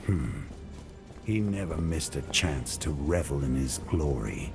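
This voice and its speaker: A stern game warrior with a low, gravel-edged voice. He is terse and grim, brooding and guarded, and his pitch moves widely as he speaks over prominent music. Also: lively intonation, a little slow, exaggerated voice. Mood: curt, stern. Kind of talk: video game cutscene acting.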